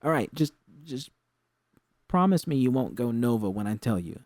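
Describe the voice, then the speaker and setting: A man voicing an excitable, earnest young woman technician in an audiobook story, with urgent, halting, slangy speech. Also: medium pitch, no echo, clean studio sound, lightly acted. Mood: anxious, hopeful.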